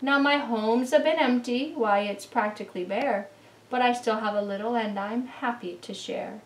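A middle-aged woman giving an expressive, deliberate picture-book read-aloud as a children's presenter. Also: fairly high pitch. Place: indoor living room beside a Christmas tree. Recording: roomy, distant camera microphone.

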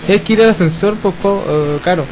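An excitable, sweary Chilean man chatting with friends as he plays a video game. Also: high voice, a little fast, faint music behind, rough recording. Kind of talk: excited casual gaming chat with friends. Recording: voice chat over loud game gunfire. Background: video game gunfire and noise.

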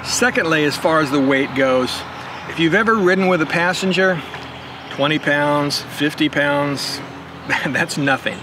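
A man's voice: fairly high pitch, a little slow, noisy background. An older man demonstrating his camping gear in a backyard, talking in a casual, step-by-step way that keeps circling back with "again" and "right". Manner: conversational, explains step by step with frequent "again" and "right". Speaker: motorcycle vlogger. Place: backyard lawn outdoors.

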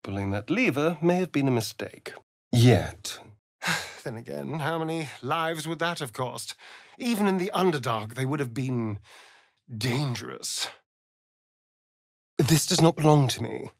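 A man's voice: mid-range voice, lively intonation, a little slow, pauses often, no room sound, studio quality. A man playing a sardonic, vain vampire spawn in a fantasy video game, with an arch, theatrical drawl. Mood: dry, musing.

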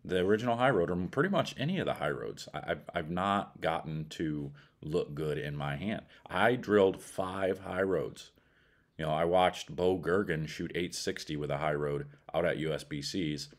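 A man's voice: low voice, moderate pace. A middle-aged man with an American accent gives a casual product review in a blunt, colloquial way. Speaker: bowling ball reviewer.

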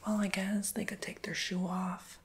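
A woman in a whispered ASMR roleplay as a shoe shop clerk, breathy and chatty, with playful, slangy asides to her customer. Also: mid-range voice, little pitch movement, normal speed.

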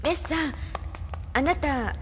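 An actress playing an earnest, capable young resistance operative in an anime audio drama, speaking persuasively. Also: female voice, high-pitched, takes it slowly, big-room sound, noisy background.

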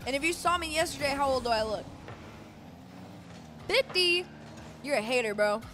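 A woman in her twenties chatting casually on a live stream, reading and answering chat with excitable bursts. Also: high-pitched, normal speed, slight background noise. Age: 20s. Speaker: esports co-streamer. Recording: game music under the voice. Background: game music and car sounds.

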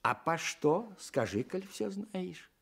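An actor playing a gentle, humble, devout village man in his sixties with folksy rustic speech, in a radio play. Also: sits high, sing-song, slow pace, gravelly, slightly rough, heavily acted. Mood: humble, philosophical. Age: older. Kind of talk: Soviet radio play acting.

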